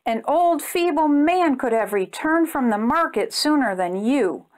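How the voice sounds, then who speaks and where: Woman acting a cruel, scolding, high-pitched master. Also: lively intonation, unhurried. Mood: angry scolding. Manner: harsh, impatient, belittling.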